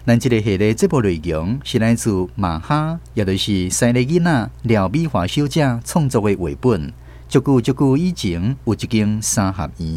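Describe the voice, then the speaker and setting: A Taiwanese man hosts a radio programme with a scripted introduction. His voice sits on the low side. His intonation is very animated, moving widely as he presents. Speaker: radio host.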